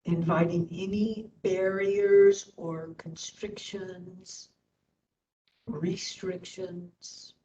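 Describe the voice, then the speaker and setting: A woman instructor leading a guided meditation in short phrases with long pauses. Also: steady tone, warm tone. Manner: slow, pausing between short phrases. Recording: distant mic, dull, faint rumbling noise.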